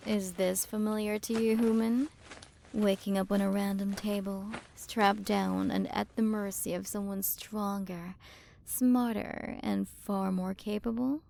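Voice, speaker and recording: A woman performs an imperious alien galaxy queen in an intimate ASMR roleplay. She speaks slowly at a medium female pitch with plenty of vocal fry. Her manner is condescending, flirty and possessive, as if toying with a smaller creature she owns. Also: warm tone, a little hiss or hum. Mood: gloating, amused. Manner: condescending, flirtatious, drawn-out delivery with breathy drops into whispers. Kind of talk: ASMR roleplay, scripted character acting.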